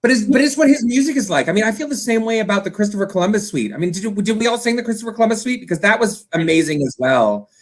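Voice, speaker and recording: A man's voice: high-pitched, lively intonation, very fast, hardly any pauses. A man chatting casually in a panel about choral music, enthusiastic and playful.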